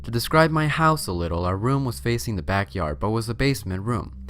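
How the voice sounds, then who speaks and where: A man's voice: fairly low pitch, expressive, brisk, a bit bright, slight background noise. A man hosting and reading a scary story as narration, with a theatrical, suspenseful delivery. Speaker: host and story reader.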